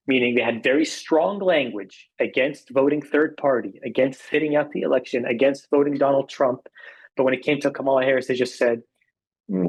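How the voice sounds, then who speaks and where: A man from Arizona with an American accent, speaking as an interview guest, making political points in a measured, emphatic way with repetition. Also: medium pitch, husky, low-quality recording. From Arizona, United States.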